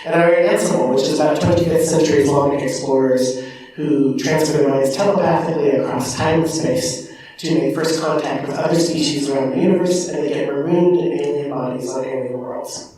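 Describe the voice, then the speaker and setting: A man with a slightly raised voice tells rambling, animated stories as a panel author. He sounds far from the mic in a very echoey hall. A faint noise hangs underneath. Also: fairly high pitch, fairly quick. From Pacific Northwest, US. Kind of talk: anecdotal panel introduction. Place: large convention room.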